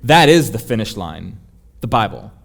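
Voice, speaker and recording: A man's voice: lowish voice, very animated, normal speed, vocal fry. A man preaching a sermon in an emphatic, rhetorical and confessional manner. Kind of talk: sermon, teaching.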